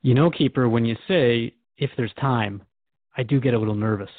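A man's voice: medium pitch, moderate pace, dry recording, close to an everyday voice. A man playing an earnest radio host in a radio drama, asking curious questions and voicing mild worry. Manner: earnest, questioning, nervous laughter. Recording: dry tinny phone-like sound.

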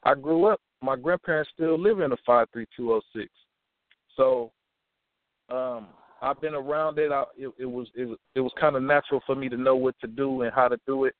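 A young man from Milwaukee talks easily as an interview guest, stopping and restarting his thoughts with lots of "you know." The sound is thin and phone-like, with no room echo at all. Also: mid-range voice. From Milwaukee, USA. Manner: relaxed, halting with many restarts and "you know". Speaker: rapper and actor guest. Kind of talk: casual interview talk. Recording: tinny phone-like sound, dry.

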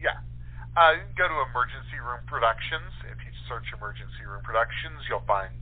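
Man, interview guest. Casual, halting, thoughtful delivery; narrow telephone sound. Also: on the low side. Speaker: interview guest, playwright and director. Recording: tinny phone line.

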